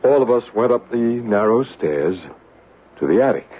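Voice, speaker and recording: An actor playing a male psychic who guides a séance in slow, hushed tones and narrates the story, in a 1970s radio drama. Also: medium pitch, lively intonation, lightly acted. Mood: narrating. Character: calm, soothing male psychic guiding a séance. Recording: narrow, hissy old radio broadcast.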